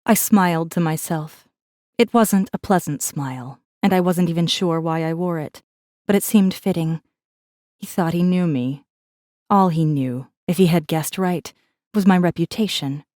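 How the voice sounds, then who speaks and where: A woman plays a guarded rebel messenger telling her own space fantasy story. Her voice sits a little low, with a wry, controlled and intimate delivery. The narration is scripted and dramatic, recorded very dry. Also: a little slow, a little mellow, no room sound, lightly acted. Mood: wry, irritated. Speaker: audiobook narrator in first person.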